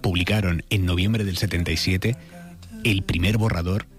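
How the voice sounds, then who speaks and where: Casting: male news presenter, Spain accent, deep register, narrating a tribute profile over soft music. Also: fairly even intonation, normal speed. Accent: Spanish from Spain. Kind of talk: narrated audio obituary profile.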